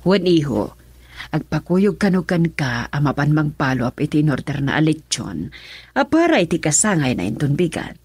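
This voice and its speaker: Lowish, husky Filipino mother, bossy and coaxing, for radio drama. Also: big pitch swings, moderate pace, darkish tone, dry recording, full character voice. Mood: coaxing, insistent. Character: middle-aged mother, coaxing and bossy with her son.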